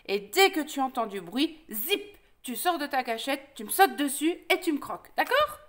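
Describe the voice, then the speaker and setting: A woman voicing a small, fearless male rabbit trickster in a children's storybook, bossing and instructing in brisk colloquial speech. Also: high voice, big pitch swings, fairly bright tone. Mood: bossy, scornful.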